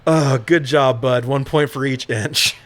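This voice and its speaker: A man's voice: mid-range voice, fairly even intonation, a little slow, a bit bright. A man co-hosting a podcast, recapping a film in casual comedic chat with sarcastic, heavily profane commentary. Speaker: podcast co-host recapping films.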